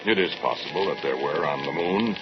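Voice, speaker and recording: A man's voice: rumbling low, a little fast, music in the background. A man playing a proud, coldly rational scientist in a 1940s radio horror drama, speaking in a pedantic, declamatory way. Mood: pompous, musing. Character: proud, obsessive male scientist, coldly rational, defensive doctor. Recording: noisy, muffled old radio drama recording.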